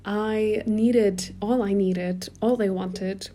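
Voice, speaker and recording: A woman in her twenties from Germany who grew up in Portugal, speaking with an American-sounding accent. She hosts a solo podcast in a soft, chatty way with many ums, recorded on a phone in a quiet room at home. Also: mid-range voice, fairly even intonation, slow. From Germany, raised in Portugal. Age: 20s. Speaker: podcast host and coach. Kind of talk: casual solo podcast talk.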